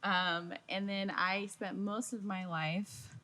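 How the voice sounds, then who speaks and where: A young woman, a church staff member, giving soft, easygoing interview answers. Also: medium pitch, little pitch movement, slow. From Pismo Beach, California. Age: young adult.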